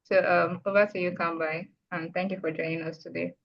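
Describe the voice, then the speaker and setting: A woman moderates a video call, brisk and organized as she hands over turns. Her voice is somewhat low with a gravelly fry. The call audio sounds muffled. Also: little pitch movement.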